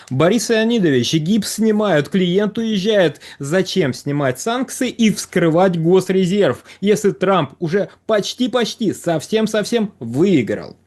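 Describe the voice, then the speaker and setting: Young male host, high voice, wry and ironic questions. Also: darkish tone. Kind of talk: show introduction and questions.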